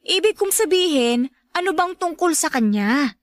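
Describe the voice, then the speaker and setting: An actress playing a defensive, shy, lovelorn young woman in a Tagalog radio drama, emotive and quick to deny. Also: extremely high pitch, expressive, no echo, studio quality. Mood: evasive.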